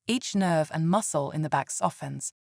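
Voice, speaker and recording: A woman leading a scripted guided meditation in a calm, soothing and gentle way. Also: sits low, moderate pace, creaky, no room sound, clean studio sound.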